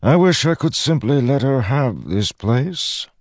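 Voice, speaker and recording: Ancient fantasy god, male narrator in character, paternal menace, theatrical, unhurried, widely swinging pitch. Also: very animated, no room sound, clean studio sound. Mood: wistful. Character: ancient malevolent god, smiling, paternal and smooth-talking. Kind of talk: audiobook fantasy dialogue.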